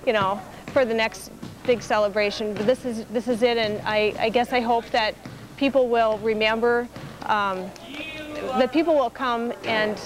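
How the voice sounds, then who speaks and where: An older woman being interviewed, recalling things informally in a halting, reflective way. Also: fairly high pitch, steady tone, music in the background. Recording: clearly noisy interview recording.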